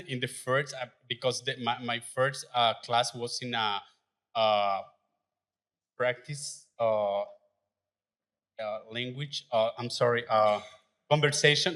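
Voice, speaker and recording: A man speaks slowly in broken, English, stopping often to search for the word. He says "uh" a lot and lets out nervous laughs as he shares his story with a live audience at an event. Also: mid-range voice, fairly bright tone. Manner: halting non-native English with many fillers and restarts, nervous, laughing. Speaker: adult learner sharing his story. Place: event venue.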